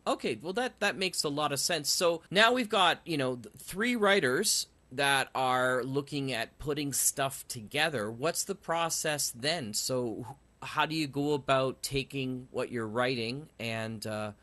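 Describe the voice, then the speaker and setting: A man's voice: mid-range voice, lively intonation, measured pace, few pauses, bright, forceful tone. A man hosting a casual, conversational interview. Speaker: interviewer, podcast host.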